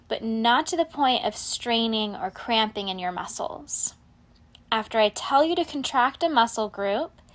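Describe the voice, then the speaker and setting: A woman leading a guided relaxation meditation in a calm, measured, soothing way, letting her phrases end softly. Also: fairly high pitch.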